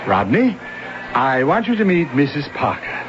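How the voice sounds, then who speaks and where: A man's voice: a little high, very animated, normal speed. An actor playing an affable, hospitable married man in a radio drama. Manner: chatty, hospitable. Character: affable married man welcoming an orphan boy. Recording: muffled old radio recording, background noise.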